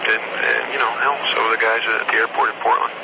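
A man's voice: on the low side, level tone, fast talker, gravelly. Man on a very noisy phone recording. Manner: informal, mildly profane, repeats himself. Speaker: air traffic controller on duty. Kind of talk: candid casual phone conversation. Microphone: telephone. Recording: noisy band-limited archival phone call recording.

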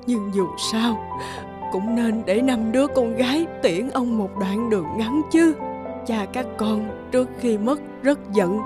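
A woman's voice: fairly high pitch, natural voice. A woman as a mournful old mother. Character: sorrowful old wife and mother. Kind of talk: Vietnamese animated folk tale dialogue.

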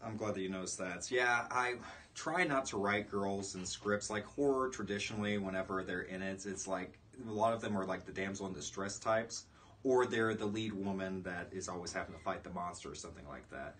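A man in his thirties hosting a relaxed, conversational interview in a small room, picked up by a distant mic. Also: low-pitched, brisk. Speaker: podcast host and writer. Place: small indoor room, seated in armchairs. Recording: distant room mic, audible background noise.

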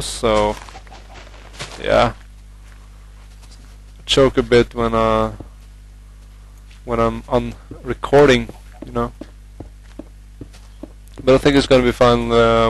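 Hesitant Norwegian-accented gamer, flat voice. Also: male voice, medium pitch, a little slow, long pauses, poor audio. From Norway. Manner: hesitant, many fillers, chatty. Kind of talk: casual game commentary.

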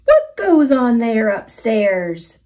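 A woman's voice: on the high side, very animated, very slow, a little mellow, exaggerated voice. A woman voicing a big-hearted, obliging male moose in a storybook, with a friendly, earnest and polite manner. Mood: startled.

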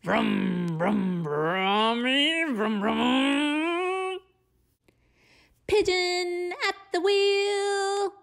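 Storybook pigeon, played by a woman: high, slow, wheedling, sulky and desperate. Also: gravelly, exaggerated voice. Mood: playful, eager. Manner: wheedling, dramatic, drawn-out words. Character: pleading, sulky cartoon pigeon desperate to drive. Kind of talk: animated picture-book read-aloud.

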